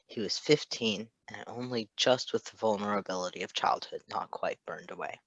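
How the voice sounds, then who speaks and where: A man's voice: lowish voice, moderate pace, fairly bright tone, no echo. A man reading fan fiction aloud as a narrator, with casual asides.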